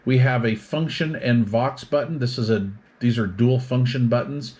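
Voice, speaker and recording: Male product reviewer, mid-pitched, methodical explainer walking through features in short, itemized phrases. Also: moderate pace, darkish tone. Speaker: product reviewer demonstrating radio gear.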